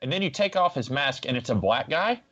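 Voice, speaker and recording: Mid-pitched man, slightly dull lo-fi audio. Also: big pitch swings, brisk, a bit bright. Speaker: podcast co-host. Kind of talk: casual podcast discussion.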